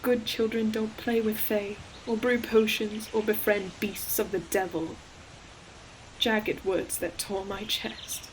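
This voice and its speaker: Slow, solemn ancient forest demon played by a woman. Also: medium pitch, level tone, a little hiss or hum, close to an everyday voice, rough recording. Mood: mournful. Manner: solemn, poetic, deliberate phrasing. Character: ancient female forest demon, tender, sorrowful, protective old friend. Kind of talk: scripted audio roleplay monologue, slow dramatic storytelling. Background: ambient environmental sound effects.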